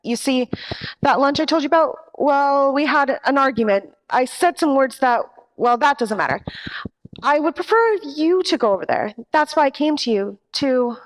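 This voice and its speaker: Noir parody nightclub singer, female actor: high voice, jittery, pleading, faltering helpless-dame delivery. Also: lively intonation, moderate pace, poor audio. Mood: anxious evasive. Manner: hesitant, imploring. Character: nervous, pleading female nightclub singer, a femme fatale client. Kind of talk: 1940s radio noir parody acting.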